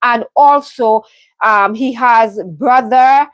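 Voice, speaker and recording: A woman's voice: a little high, slow, a bit bright, no room sound. A woman from the Caribbean hosting a live stream, talking in an earnest, heartfelt way with many ums and restarts. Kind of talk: casual live stream update. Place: home in London.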